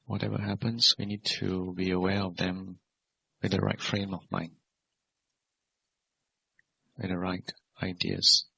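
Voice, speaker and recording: Low, husky man guiding a meditation. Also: little pitch movement. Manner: measured, simple phrasing with gentle questions. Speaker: Buddhist monk and meditation teacher. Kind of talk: guided meditation instruction. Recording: dull, lo-fi recording.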